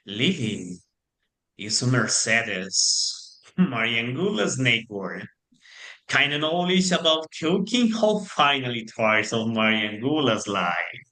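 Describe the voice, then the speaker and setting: Bright, theatrical male narrator, slow. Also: mid-range voice, very animated, low-quality recording.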